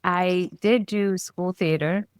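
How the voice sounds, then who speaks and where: A woman from London, a venue founder being interviewed, talking in an animated way with frequent laughs and restarts. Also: lowish voice, slow, smooth, no echo, studio quality. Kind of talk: casual interview chat.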